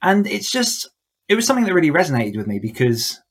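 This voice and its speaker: A man's voice: fairly high pitch, big pitch swings, fast talker, husky. A man chatting casually as a podcast guest, explaining his thoughts in a reflective way. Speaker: podcast guest, author coach. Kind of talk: casual interview chat.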